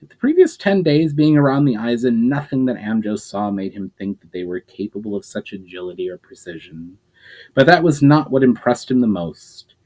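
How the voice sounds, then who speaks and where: A man reading a fantasy novel aloud as a storyteller, expressive, with occasional slips and restarts. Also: medium pitch, moderate pace, rough recording.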